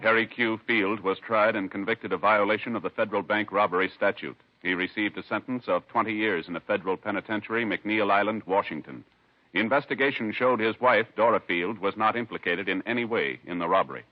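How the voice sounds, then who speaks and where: A man giving formal, measured scripted announcements in 1950s radio style. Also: on the low side. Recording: dull 1950s radio recording. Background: music.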